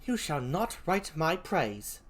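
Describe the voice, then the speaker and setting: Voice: medium pitch, very animated, slow pace, pitched above the performer's own voice. A performer voicing a curt, reproachful soldier's wife with a put-on British accent. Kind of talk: amateur Shakespeare read-aloud.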